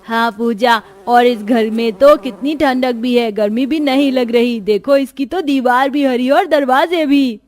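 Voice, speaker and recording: Cartoon little sister played by a woman: high-pitched, young, innocent orphan girl. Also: measured pace, hardly any pauses, a little mellow. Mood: delighted. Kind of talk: cartoon story dialogue.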